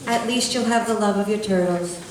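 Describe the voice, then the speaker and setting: A woman's voice: mid-range voice, a little fast, a little hiss or hum, close to an everyday voice. A woman playing a shy, prim pet shop clerk in a live old-time radio comedy, politely correcting everyone. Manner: polite, patiently correcting others. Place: library meeting room, live show. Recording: very echoey, distant mic.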